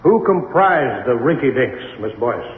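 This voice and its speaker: An actor playing a pompous, badgering American senator, formally interrogating in an old radio drama. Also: male voice, mid-range voice, very animated, measured pace. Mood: stern, probing. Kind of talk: vintage radio drama acting. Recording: muffled, echoey hall, noisy vintage radio.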